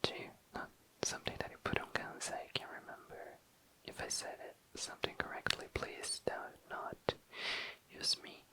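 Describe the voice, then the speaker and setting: A young adult ASMR host whispering casual, tentative commentary, often self-correcting in an unhurried way. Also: sharp tone, low-quality recording. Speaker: host of an ASMR makeup video. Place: indoors, tabletop close-up video.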